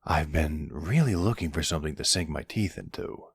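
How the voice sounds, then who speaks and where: Man playing a gravelly, very low-voiced mafia boss. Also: no room sound, exaggerated voice. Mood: teasing, playful.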